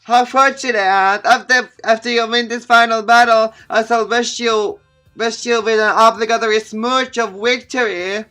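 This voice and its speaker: A man's voice: very high-pitched, fairly even intonation, moderate pace, sharp tone, soft background music, voice pushed up. A man voicing a melodramatic young damsel in distress in a theatrical, swooning manner. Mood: gushing.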